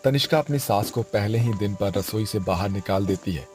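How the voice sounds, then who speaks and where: A man's voice: on the low side, moderate pace, a little mellow, soft background music. A man delivering scripted narration for a family cartoon story.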